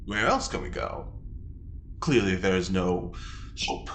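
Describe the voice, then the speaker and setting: Wry older prisoner, audio drama. Very deep voice, slow theatrical drawl, swooping and teasing. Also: female voice, animated, a little hiss or hum. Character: charming, wry older prisoner, theatrical self-styled rebel.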